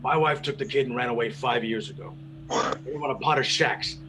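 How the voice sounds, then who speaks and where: A man playing a wary, weary cab driver in a radio play, gruff and slangy. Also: mid-range voice, a little fast, lightly acted. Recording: noisy background ambience.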